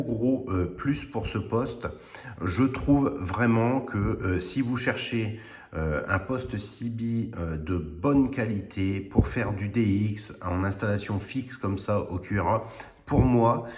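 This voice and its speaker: Guy doing a casual gear demo, lowish voice, echoey room, bit hesitant. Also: darkish tone. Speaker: radio hobbyist presenter. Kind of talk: informal product demonstration. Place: indoors at home radio station. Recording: roomy, echoing room sound.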